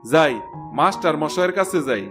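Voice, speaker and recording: High-pitched worried farmer father acted by a man. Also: lively intonation, fairly quick, music in the background, close to an everyday voice. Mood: lamenting. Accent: eastern Bengali. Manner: lamenting. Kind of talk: cartoon folk-tale voice acting.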